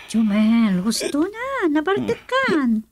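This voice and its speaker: An actress playing a frank female confidante in a radio drama, expressive, with a Filipino accent. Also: high-pitched, slow pace, full character voice. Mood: concerned. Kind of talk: Ilocano radio drama acting.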